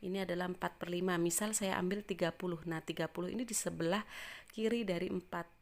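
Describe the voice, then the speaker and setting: A woman's voice: fairly low pitch, steady tone, fast, darkish tone. A woman math teacher explaining step by step in an informal tutorial style.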